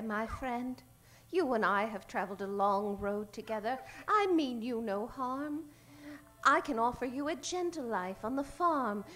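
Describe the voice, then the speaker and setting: High-voiced, coaxing frontier woman played by an actress. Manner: gentle, pleading. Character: earnest, coaxing frontier woman sweet-talking a donkey. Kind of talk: comedic old-time radio acting.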